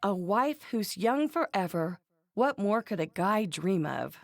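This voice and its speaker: An actress playing a plainspoken, teasing young woman in an English-dubbed anime game, with a casual, expressive manner. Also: mid-range voice, a little slow, dry recording, studio quality. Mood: casual, musing.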